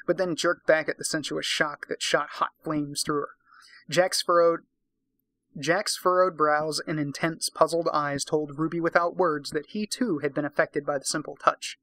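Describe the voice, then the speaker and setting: A man's voice: a little high, fairly even intonation, normal speed, a bit bright, dry recording. A tipsy man hosting a casual comic read-aloud, narrating and breaking off for sweary, sarcastic commentary. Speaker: host, narrator.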